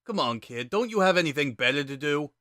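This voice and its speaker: A man's voice: a little high, lively intonation, fairly quick, sharp tone, no room sound, studio quality, voice pushed up. A man voicing a laid-back, wry joker with a casual, sardonic drawl in a dramatic story reading. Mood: breezy. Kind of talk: dramatic reading of fan fiction.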